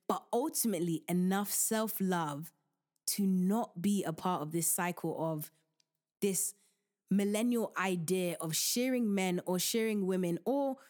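Animated, joking woman podcast host. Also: mid-range voice. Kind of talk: casual advice talk.

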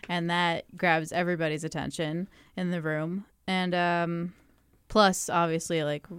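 Young woman vocalist, lowish smooth voice, chatty, laughs easily, dry close mic. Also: little pitch movement, a little slow, no room sound. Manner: chatty, laughs often, fillers like "um" and "like". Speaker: singer. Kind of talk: casual interview answers.

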